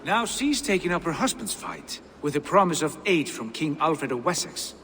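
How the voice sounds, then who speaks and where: Animated, proud Viking lord. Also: male voice, fairly high pitch, moderate pace, long reverb tail, a little hiss or hum. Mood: confident, persuading. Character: proud, dramatic male Viking lord, Eivor's brother. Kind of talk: video game cutscene acting.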